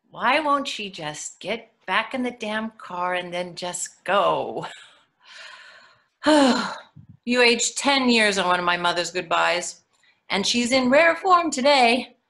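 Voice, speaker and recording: A woman playing a frazzled, sardonic mother in an expressive dramatic monologue reading over a video call. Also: mid-range voice, slow pace. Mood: sardonic impatience. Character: frazzled, sardonic new mother, sometimes mimicking her own mother.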